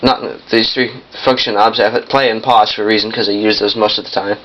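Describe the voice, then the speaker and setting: A young man giving a casual hands-on demonstration in a halting, piecemeal way, often correcting himself. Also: fairly low pitch, flat delivery. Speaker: vintage audio collector demonstrating a machine. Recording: muffled lo-fi camcorder sound, noisy.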